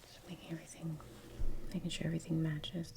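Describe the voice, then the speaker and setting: Soft whispery asmr lady, creaky n low, musing along with faint noise behind her. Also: level tone. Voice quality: breathy whisper. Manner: unhurried, trailing off, murmured musing. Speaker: artist, ASMR video creator. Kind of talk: soft-spoken ASMR painting chat. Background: soft brush and painting sounds.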